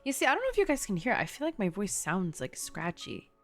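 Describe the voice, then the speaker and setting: A woman's voice: medium pitch, wildly swooping pitch, fast. A young woman game streamer giving casual, slangy live reactions in a chatty way. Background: game music.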